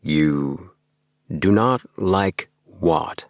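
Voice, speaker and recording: A man's voice: sits low, very slow, dry recording, studio quality, heavily acted. A man voicing a puzzled, reasonable young friend in a storybook, in a soothing, bemused manner. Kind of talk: storybook dialogue reading.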